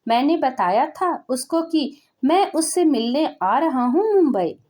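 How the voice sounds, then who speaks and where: A woman's voice: sits high, lively intonation, a little slow, a little mellow. A woman voicing a poor old father from a village who speaks in a pleading, bewildered way, in a spoken storybook.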